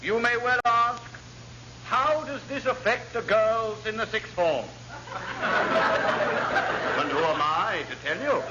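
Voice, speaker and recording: An actor playing a muddled, pompous elderly dignitary who rambles through oratory in classic radio comedy style. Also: male voice, high voice, takes it slowly, long pauses. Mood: pompous, befuddled. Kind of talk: classic radio sitcom acting. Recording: muffled, very noisy old radio recording.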